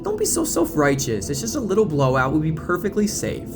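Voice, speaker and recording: A brash teenage girl acted by a man talks in a flippant, breezy way, bossy but reassuring. It is an audio drama reading with music clearly behind it. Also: a little high, huge pitch swings, fairly quick, voice pushed up. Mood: teasing.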